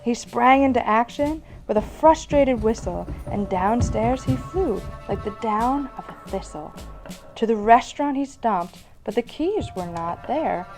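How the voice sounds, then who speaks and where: A woman's voice: a little high, darkish tone. A woman host narrating a rhymed ghost story in storybook style.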